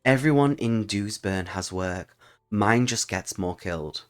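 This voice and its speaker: A man voicing an old woman who leads a forest guild in a dark fantasy story, dry, terse and unimpressed. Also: fairly low pitch, unhurried, clear, resonant tone, soft background music, lightly acted. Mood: bored, dry.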